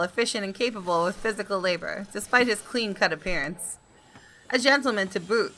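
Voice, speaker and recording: A woman reading a visual novel aloud as expressive first-person narration. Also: mid-range voice, poor audio. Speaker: gaming video host reading aloud.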